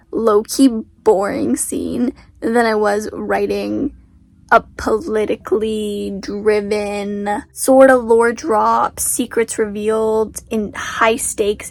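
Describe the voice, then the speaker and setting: A woman's voice: on the high side, creaky, no echo. A teenage girl and author vlogging casually, rambling in a stressed, self-mocking way with a sleepy-sounding voice. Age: teens. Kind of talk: casual vlog chat.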